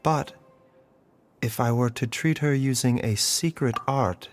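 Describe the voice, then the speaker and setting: A man voicing a gentle, composed doctor who speaks softly and clinically. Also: mid-range voice, unhurried, soft background music, close to an everyday voice. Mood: concerned. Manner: soft-spoken, calm, clinical. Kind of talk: video game cutscene voice acting.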